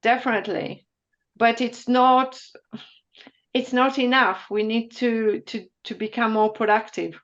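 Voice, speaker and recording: Woman economist taking questions on an online call, explains things carefully, bit high pitched, dull audio. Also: fairly even intonation, measured pace, fairly bright tone. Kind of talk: answering questions after an online presentation.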